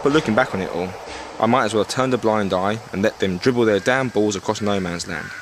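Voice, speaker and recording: An actor as a low-voiced, gruff English army captain. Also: male voice, fast, noisy background, natural voice. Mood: defensive, forceful. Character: gruff, indignant British army captain in World War One. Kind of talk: period audio drama acting.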